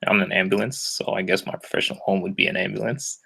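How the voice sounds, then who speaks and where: Man, twenties, lowish creaky voice, casual and hesitant group introduction. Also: fairly even intonation, fast talker, pushed and bright. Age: 20s. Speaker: EMT and recent biology graduate. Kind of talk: informal self-introduction.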